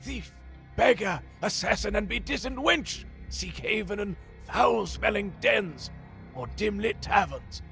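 An actor playing a frail, cursed philosopher in a fantasy audio drama, solemn and theatrical, with a gravelly, husky voice. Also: male voice, high-pitched, animated, unhurried, fairly bright tone, faint music behind, exaggerated voice. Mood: ominous storytelling. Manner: theatrical, portentous, archaic phrasing.

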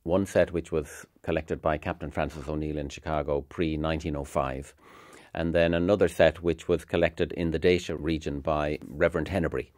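A middle-aged man, speaking in a reflective, halting way in a documentary interview. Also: a bass voice, steady tone, darkish tone, no echo, studio quality. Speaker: university music academic.

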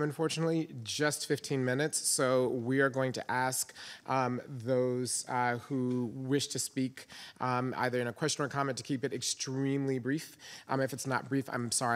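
A man's voice: medium pitch, fairly bright tone. A man moderating a meeting, hesitant and apologetic, with many ums. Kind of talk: chairing a meeting. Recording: long reverb in a large hall.

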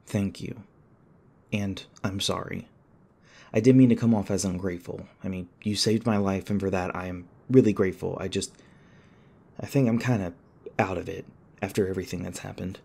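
A man playing a shaken, apologetic young human in an audio roleplay, speaking hesitantly with stammers and halting phrases. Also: deep voice, fairly even intonation, gravelly, warm tone, slightly rough, exaggerated voice. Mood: grateful, apologetic. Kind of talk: ASMR audio roleplay, naturalistic acting.

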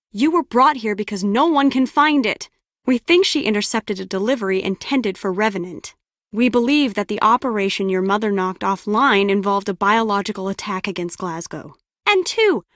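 A woman's voice: fairly high pitch, no room sound, studio quality, close to an everyday voice. An actress playing a brusque, sarcastic lone-wolf female spy in a video game, with a curt, biting delivery. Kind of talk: scripted game character dialogue.

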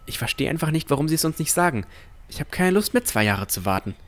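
A man's voice: fairly high pitch, very animated, fast, a bit bright. A man playing a cautious, earnest boy who narrates his own fantasy adventure in an audio drama. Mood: worried, frustrated. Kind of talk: first-person scripted narration and dialogue.

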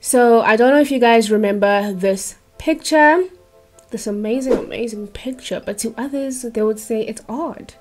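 A woman's voice: fairly high pitch, moderate pace, darkish tone. A bubbly young woman talks straight to her viewers from a small bedroom, speaking into a desk microphone. Her voice is slightly worn as she chats casually and keeps checking in with the audience. Light music sits faintly underneath. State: losing her voice. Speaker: YouTuber. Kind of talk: casual chatty vlog.

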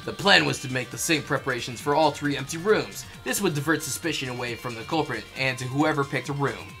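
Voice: medium pitch, music in the background. A person reading a game script as scripted narration, with playful, casual asides. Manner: playful asides, quick to laugh. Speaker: fandub performer reading the game script with commentary. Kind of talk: scripted narration mixed with casual joking chat.

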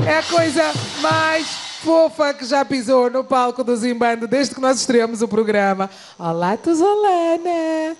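A woman's voice: high voice, unhurried, faint music behind. A woman, hosting a live children's segment in a TV studio, effusive and coaxing with the kids. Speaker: TV host. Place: bright TV studio.

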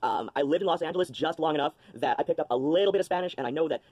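A man's voice: a little high, very fast, darkish tone, husky. A man chatting casually at home into a webcam during an unboxing, rambling with lots of ums and restarts. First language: English. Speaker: vlogger. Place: small cluttered room at home, indoors.

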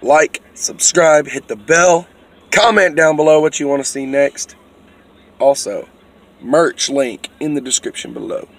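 A man's voice: a little high, a little slow. Profane young man. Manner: loose, profane and joking. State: losing his voice. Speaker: vlogger. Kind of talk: casual car vlog. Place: inside a moving SUV. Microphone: phone held at arm's length.

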